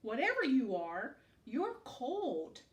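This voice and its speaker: High-voiced, tender little penguin played by a woman. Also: wildly swooping pitch, slow, roomy sound, far from the mic, heavily acted. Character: young male penguin, curious, tender, devoted friend.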